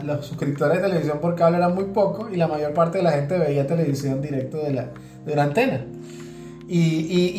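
A man's voice: a little high, a little mellow. A Venezuelan man co-hosting a casual podcast, explaining things in a hesitant way and often repeating words as he goes. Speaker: podcast co-host. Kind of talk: casual conversational commentary. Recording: roomy echo, music behind.

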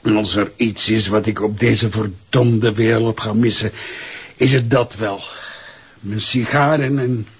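An actor with a Flemish accent playing an imperious, cynical, ailing gentleman villain, his voice rough and husky. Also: lowish voice, steady tone, measured pace. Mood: wry, bitter. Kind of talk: dramatic screen or radio acting. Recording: dull lo-fi sound.

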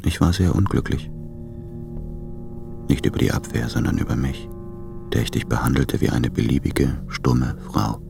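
An actor playing a brooding, self-doubting lover in a radio play, with measured, introspective delivery. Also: male voice, rumbling low, creaky, over music, exaggerated voice. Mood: melancholy. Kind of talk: literary German radio play monologue.

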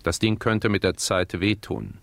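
A man's voice: fairly low pitch, fairly even intonation, a little slow, creaky, dry recording. A male actor playing an unflappable, formally polite millionaire in a German radio play. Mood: polite, composed. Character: courteous, unflappable male millionaire on a sinking ship.

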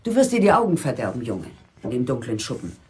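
A woman's voice: a bass voice, sing-song, fast, breathy edge, faint music behind, full character voice. An actress playing a nagging, worried middle-aged mother in a German radio play, fussing and reproachful in manner.

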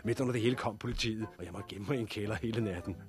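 An actor playing a blunt, forceful, foul-mouthed leader of disaster survivors in a 1960s Danish radio drama, with a gruff, persuasive way of speaking. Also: male voice, fairly low pitch, music in the background, natural voice. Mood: rueful, wry.

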